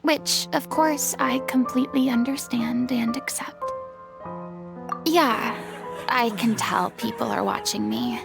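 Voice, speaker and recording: A woman voicing a lonely, remorseful young former goddess in a video game, speaking softly and confessionally, often near a whisper. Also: a little high, very animated, slow pace, creaky, exaggerated voice. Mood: wistful. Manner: soft, confessional, often hushed. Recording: game audio with faint music.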